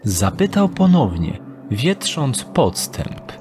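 Slow, measured male audiobook narrator. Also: mid-range voice, big pitch swings, a little mellow, slight background noise. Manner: measured, deliberate storytelling.

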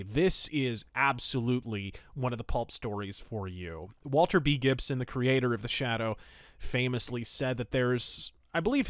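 A man's voice: mid-range voice, fairly bright tone, no echo, studio quality. A man hosting a solo review podcast, with a wordy, articulate and flourished way of talking. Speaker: podcast host.

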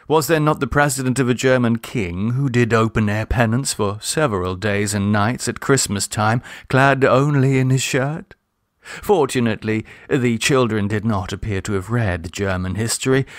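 A man narrating a literary short story in a measured, expressive, formal storytelling manner. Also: fairly low pitch, no echo, studio quality. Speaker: audiobook narrator.

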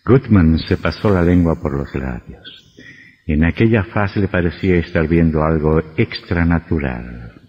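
Casting: sinister radio horror narrator, husky voice, cavernous reverb on old recording. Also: male voice, deep voice, measured pace, dull audio. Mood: ominous. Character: ominous horror storyteller.

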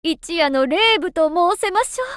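A woman playing a refined, devoted crane-spirit seamstress in a game, polite and theatrical, given to excitable fangirl gushing. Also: very high voice, normal speed, background noise. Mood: excited. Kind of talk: Japanese game voice acting. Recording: background music under clean studio voice.

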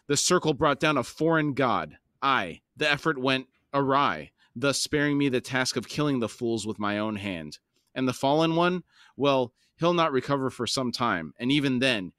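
Guy reading a raspy smug villain king, loves hearing himself talk, dry close sound. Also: medium pitch, fairly bright tone, no room sound, close to an everyday voice. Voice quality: rasping, self-satisfied. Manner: drawn-out gloating speeches. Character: smug scornful tyrant king, older man.

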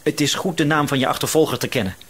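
An actor playing a paranoid, quietly menacing male shopkeeper in a Dutch radio drama, hesitant yet insistent. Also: fairly high pitch, breathy edge. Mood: accusing. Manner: hesitant, insistent, suspicious, drawn-out words.

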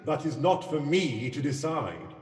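Stern, imperious pantomime king played by a man, lively intonation, echoey hall sound. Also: a little high, unhurried. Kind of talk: radio pantomime acting. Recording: echoey hall, distant from mic.

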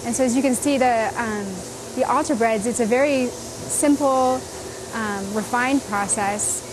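A middle-aged nun gives an interview, speaking softly and hesitantly, with frequent ums. Her voice is somewhat high. She is on a lapel mic in a small office, with a clear air-conditioning hum behind her. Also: measured pace. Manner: soft, hesitant, with many ums.

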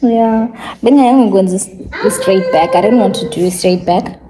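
A woman's voice: mid-range voice, normal speed, background noise. A woman in her thirties with a South African accent, chatting casually to the camera at home and mixing languages. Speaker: lifestyle vlogger. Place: open-plan kitchen and living room at home. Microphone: phone or camera at arm's length.

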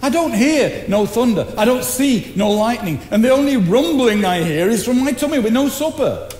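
A man's voice: very high voice, expressive, moderate pace, a little mellow. An older actor on stage playing a genial, simple countryman with folksy, plain-spoken comic delivery. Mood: folksy, puzzled. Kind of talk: theatrical stage acting. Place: theatre stage, indoors. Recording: echoey live theatre, faint noise.

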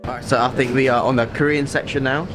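A young man in his twenties, chatting in a relaxed, easygoing way to camera as a food vlogger in a mall buffet. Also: medium pitch, fast talker, over music. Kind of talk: casual vlog chat to camera. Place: large indoor mall buffet restaurant.

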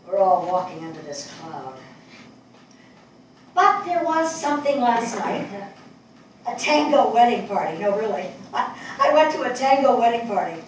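An older woman acting a thoughtful writer in a stage play reading, telling her story with expressive, wistful, deliberate phrasing. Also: a little high. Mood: wistful wonder. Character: thoughtful, attentive New York woman writer. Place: on stage in a theatre before an audience. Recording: distant mic, echoey hall, audible noise.

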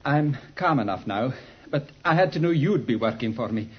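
An actor playing an agitated young British solicitor who pleads and stammers in a 1950s radio drama. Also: male voice, mid-range voice, animated, moderate pace, slightly rough. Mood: shaken, apologetic. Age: young adult. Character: frantic, pleading young London solicitor, nearly mad. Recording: muffled 1950s radio recording.

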